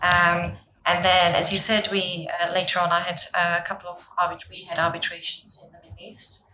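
A woman's voice: on the low side, completely flat delivery, fairly quick, roomy sound, noisy background. A woman from Czechoslovakia whose first language is Czech, a lawyer chatting as a podcast guest in a light, self-deprecating way. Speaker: guest, senior associate lawyer. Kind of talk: casual podcast chat.

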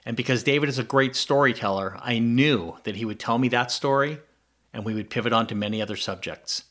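A man's voice: medium pitch, fairly quick, fairly bright tone. Guy host, plain mid voice, casual chatty intro, little jokes. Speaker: interviewer, host. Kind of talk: casual conversational interview.

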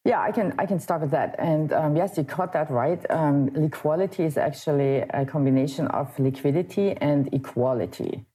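A woman in her thirties with a low voice speaks as an interview guest. Her tone is mellow and dark. She answers in a measured way, pausing often on "uh" and "um". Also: breathy edge. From Germany. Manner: measured, with frequent "uh" and "um" pauses. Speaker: crypto wallet co-founder, guest.

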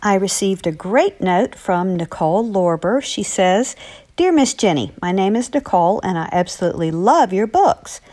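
A woman with a medium-pitched voice talks as an author to young listeners. She is chatty and encouraging, as in a friendly letters segment. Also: animated, normal speed, darkish tone.

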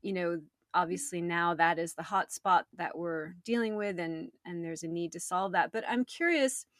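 A woman's voice: on the low side, moderate pace, dry recording, studio quality. A woman hosting an interview, asking friendly, thoughtful questions. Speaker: podcast host. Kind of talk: conversational interviewing.

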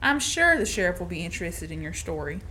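A woman's voice: lowish voice, big pitch swings, fast talker, pushed and bright. A woman acting a feisty, skeptical young woman in a radio play, prim and challenging. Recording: noisy student radio play recording.

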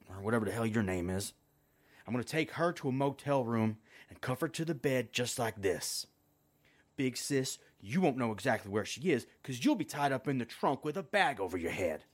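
A man playing a rough, greedy kidnapper who talks in crude rural slang. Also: medium pitch, lightly acted. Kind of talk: amateur audio drama acting. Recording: music under the voice.

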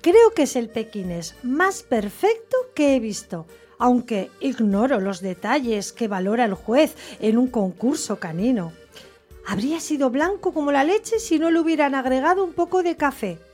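An actress playing an observant, quietly wry narrator in a literary radio drama. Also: female voice, high voice, lively intonation, moderate pace, faint music behind. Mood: wry, admiring.

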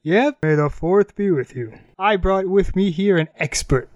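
A man's voice: high-pitched, unhurried, no room sound, muffled recording. A man hosting an anime radio show, chatting casually and introducing songs.

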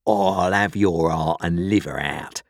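A man voicing a brutal escaped convict in an audiobook, with a rough growl and threatening, rustic working-class speech. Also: low voice, unhurried, dry recording, studio quality.

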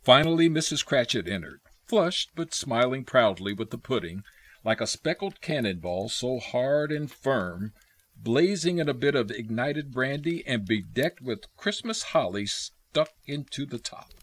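A man's voice: medium pitch, lively intonation, unhurried. A man narrating a radio play in a scripted storybook style. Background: music.

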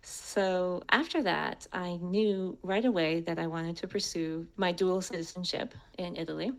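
Woman, American accent, lower-set voice with noticeable fry, conversational personal storytelling. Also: a little slow, poor audio. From United States. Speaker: co-host and guest speaker telling her story.

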